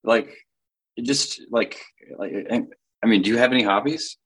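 A man hosting a casual interview, halting and restarting his questions. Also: medium pitch, fairly bright tone, breathy edge, low-quality recording. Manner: halting, many fillers and restarts. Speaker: podcast host.

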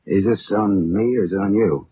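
An actor playing a wisecracking, world-weary private investigator in a 1940s American radio drama, talking in wry, casual slang. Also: male voice, on the low side, normal speed, natural voice. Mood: wry, probing. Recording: muffled, noisy vintage radio recording.

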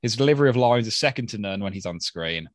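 A man's voice: on the low side, lively intonation, fast talker, no echo. Man hosting, dry close sound. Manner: easygoing, chatty, with fillers and laughs. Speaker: podcast host. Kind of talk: casual chat, reading listener mail.

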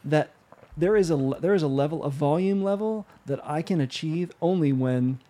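A man with a soft, gentle voice, talking in a relaxed, halting way and often stopping to restart his sentences. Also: fairly high pitch. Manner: halting, self-interrupting. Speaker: guest musician. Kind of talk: casual conversation.

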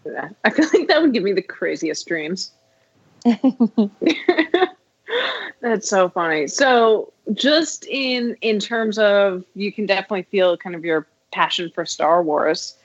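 Enthusiastic woman host, mid-pitched voice, dry dull recording. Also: a little slow, breathy edge, no echo. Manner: enthusiastic, chatty. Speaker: podcast host. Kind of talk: casual interview chat. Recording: dry, muffled lo-fi audio.

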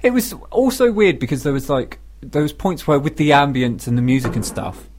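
A British man co-hosting a radio show, chatting casually and telling self-deprecating comic stories in an animated way. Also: on the high side, fairly quick, a little hiss or hum. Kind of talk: casual comic chat, anecdote.

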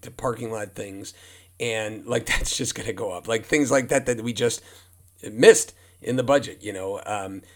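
Hoarse male film producer, mid-pitch, frank and rambling podcast guest. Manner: rambling, frank, repeats himself. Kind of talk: casual podcast guest talk. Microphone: speaking near a humidifier.

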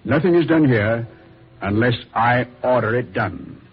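An actor playing a suave, mocking Devil in a radio horror play, with amused, courtly, sardonic phrasing. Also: male voice, mid-range voice, unhurried, lightly acted. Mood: commanding, sly. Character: suave, mocking Devil receiving a dead man. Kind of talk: old-time radio horror acting. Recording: muffled old radio recording, music behind.

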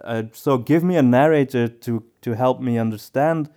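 A man's voice: mid-range voice, normal speed, warm tone. A man speaking as a podcast co-host in a casual discussion, giving his opinions as he thinks aloud.

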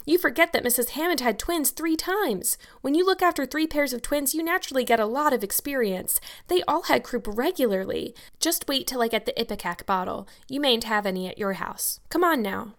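A woman's voice: mid-range voice, expressive, brisk, vocal fry, hardly any pauses, fairly bright tone. A woman voicing a talkative, imaginative young girl with an earnest, grandly worded way of speaking in an audiobook. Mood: cheerful, confident. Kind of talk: audiobook character dialogue.

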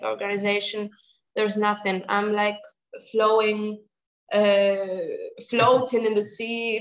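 A young woman singer, answering interview questions in a halting way, often restarting and searching for words. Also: mid-range voice, poor audio. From Germany and Greece.

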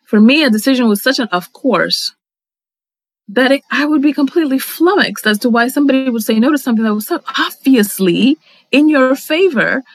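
A woman's voice: sits high, expressive, brisk, no echo, low-quality recording. A middle-aged woman from the Dominican Republic, a guest in an interview, talking thoughtfully and articulately with frequent fillers and restarts. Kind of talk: reflective conversational interview.

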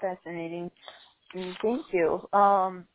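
A woman's voice: lowish voice, fairly even intonation, slow, phone-quality sound, a little hiss or hum. A woman interviewing an author, giving brief appreciative remarks.

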